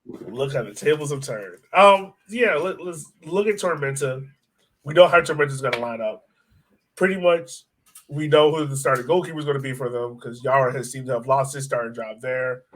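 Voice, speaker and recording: A man's voice: mid-range voice, normal speed, slight background noise. A man hosting a casual soccer podcast, talking hesitantly as he thinks aloud. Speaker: sports podcast host.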